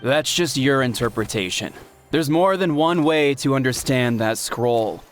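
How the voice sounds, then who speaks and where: A man's voice: mid-range voice, animated, measured pace, a little hiss or hum, natural voice. A man voicing a dismissive, sarcastic skeptic in a video game, talking with condescension. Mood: scornful, challenging. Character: dismissive male skeptic, scornful of old legends.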